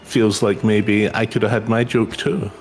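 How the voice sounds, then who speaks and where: A man's voice: fairly low pitch, level tone, unhurried. A man chatting casually as a co-streamer during a video game stream, with game music behind him.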